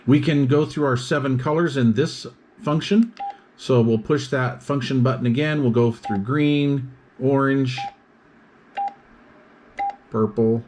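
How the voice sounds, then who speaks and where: Male product reviewer, mid-pitched, methodical explainer walking through features in short, itemized phrases. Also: darkish tone, a little hiss or hum. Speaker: product reviewer demonstrating radio gear.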